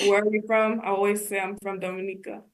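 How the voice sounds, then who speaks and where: A woman's voice: medium pitch, level tone, fast talker, low-quality recording. A young woman from the United States, a college student guest, giving casual, plain-spoken interview answers as she thinks aloud. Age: young adult.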